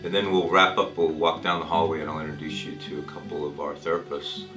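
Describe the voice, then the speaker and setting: A man playing a reassuring physician in a role-played consultation, explaining things in a measured, hesitant way. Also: very deep voice, level tone. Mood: friendly, measured. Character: reassuring male physician talking with a cancer patient. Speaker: doctor in a training dramatization. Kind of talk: role-played consultation, semi-improvised dialogue. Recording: roomy echo with music underneath.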